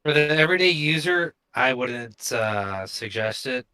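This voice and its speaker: A man co-hosting a casual discussion, giving firm opinions in halting, unscripted phrases. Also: mid-range voice, expressive, sharp tone, clear, resonant tone. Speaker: co-host of a crypto show.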